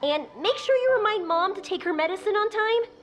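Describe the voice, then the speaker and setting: An actress playing a bubbly, eager young woman who makes fireworks in a video game, with a breezy, girlish, excitable manner. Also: very high-pitched, a little fast. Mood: cheerful, reassuring.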